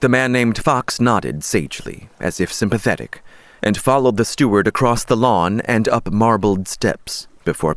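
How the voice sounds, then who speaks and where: A man narrating a fiction audiobook in a measured, dramatic storytelling style. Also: lowish voice, no echo.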